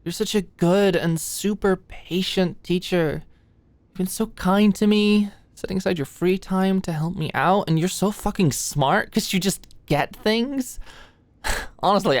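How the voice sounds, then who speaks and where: A woman's voice: low voice, no echo. A woman playing an anxious, stressed-out young medical student in an intimate roleplay monologue, rambling and stammering as she goes. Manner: rambling, stammering, hesitant, swearing.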